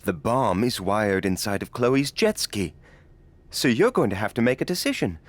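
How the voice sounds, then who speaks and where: Artsy gushy movie director in a video game, super theatrical, voice swoops all over the place. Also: male voice, lowish voice, big pitch swings, moderate pace, dry recording. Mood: dramatic line reading. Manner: theatrical, effusive, touchy-feely. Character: gushing, pretentious male film director running an audition. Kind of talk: video game cutscene acting.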